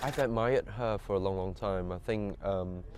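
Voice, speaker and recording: A man from Southeast Asia giving an interview as a film producer, measured and hesitant, repeating words for emphasis. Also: low-pitched, a little mellow, soft background music. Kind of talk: interview talk.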